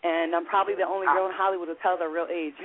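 A woman's voice: fairly low pitch, fairly even intonation, fast, slight background noise. A woman in her late thirties, a guest talking casually and frankly, full of "you know" and "like". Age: 30s. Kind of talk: casual interview chat. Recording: tinny phone-line sound.

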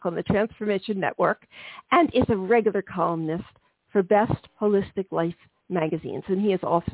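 A woman hosting a healing-themed interview podcast, her voice a little gravelly, talking chattily with frequent ums and soft laughs. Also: medium pitch, no room sound. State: slightly gravelly voice. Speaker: podcast host. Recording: dry, tinny phone-like sound.

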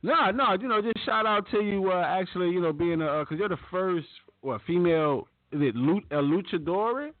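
A man co-hosting rambles through his thoughts with plenty of fillers, his voice a bit high and animated. The audio is dry and phone-thin. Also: a little fast, hardly any pauses, no echo, narrow, tinny audio. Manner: hesitant, rambling with lots of fillers. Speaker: co-host. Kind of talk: casual chat.

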